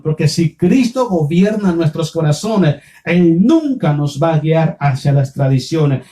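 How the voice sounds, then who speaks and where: A man's voice: on the high side, warm tone. A male pastor with a Nicaraguan Spanish accent preaching a didactic sermon, repeating phrases and posing rhetorical questions.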